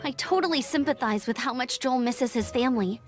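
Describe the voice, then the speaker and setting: A woman's voice: high voice, fairly quick, faint music behind, close to an everyday voice. A woman playing a friendly, caring young scout in a video game, chirpy, informal and earnest. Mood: concerned, earnest. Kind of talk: video game character acting.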